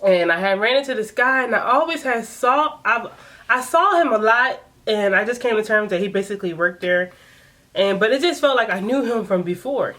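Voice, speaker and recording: A woman in her late twenties telling a personal story in a casual vlog, chatty and animated with frequent laughs. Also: a little high, normal speed. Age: 20s. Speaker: vlogger.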